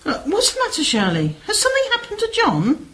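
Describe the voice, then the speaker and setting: A woman's voice: extremely high pitch, wildly swooping pitch, fairly quick, fairly bright tone, heavily acted. A woman playing a chatty, inquisitive village woman in an amateur radio play. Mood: curious, concerned. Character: chatty, inquisitive village sister seeking company in London. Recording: slightly noisy amateur radio recording.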